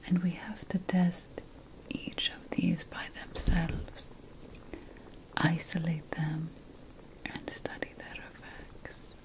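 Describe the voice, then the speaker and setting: A woman playing an ancient witch priestess, a patient and lofty potion scholar, in a hushed, deliberate ASMR fantasy roleplay. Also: low voice, little pitch movement, rough recording. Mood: hushed, instructive. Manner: hushed, deliberate, lingering over lists.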